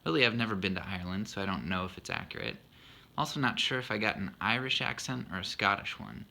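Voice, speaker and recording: A man in his thirties talks through a poem he wrote, earnest and reflective with a self-deprecating streak. His voice sits a little low with a gravelly fry, yet carries a bright, forward edge. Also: quick pace. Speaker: husband explaining his poem. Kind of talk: reflective spoken monologue.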